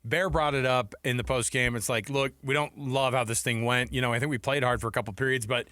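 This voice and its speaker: Male American sports talk host, medium pitch, casual delivery, close dry studio sound. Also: fairly even intonation, fast, sharp tone, no echo.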